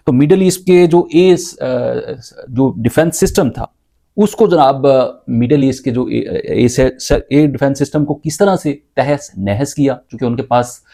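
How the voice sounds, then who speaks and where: A man giving unscripted news analysis, explaining things in a halting way and often repeating his words. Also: mid-range voice, mellow. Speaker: news commentator.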